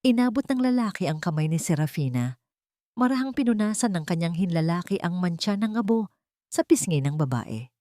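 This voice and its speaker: A woman's voice: fairly low pitch, animated, fairly quick, dark tone, no room sound, studio quality. A woman reading a scripted, dramatic story as its narrator. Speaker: storyteller, narrator of love stories.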